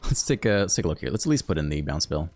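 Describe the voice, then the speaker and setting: Relaxed male game host, low voice with a lively swing, talking through each play over faint music. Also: very fast. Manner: thinks aloud, relaxed and chatty. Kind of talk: casual live gameplay commentary.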